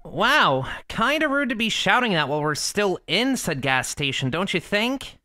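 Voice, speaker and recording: Woman as bright, perky young squad member. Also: medium pitch, moderate pace. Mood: chiding. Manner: casual, expressive, teasing. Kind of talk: visual novel voice acting.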